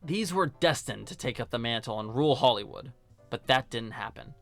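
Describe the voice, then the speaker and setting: A man's voice: medium pitch, lively intonation, fairly quick, vocal fry, fairly bright tone, faint music behind. A man narrating a scripted film review in a casual, joking, sarcastic and colloquial way. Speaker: video host and film reviewer.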